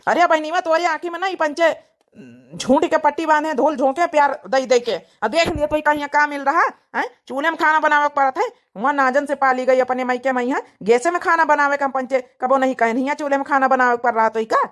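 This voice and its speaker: A woman's voice: high-pitched, a little fast, a bit bright. A woman voicing a hot-headed, protective young village brother in a cartoon comedy drama, insistent and argumentative with an Awadhi accent. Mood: angry, scornful.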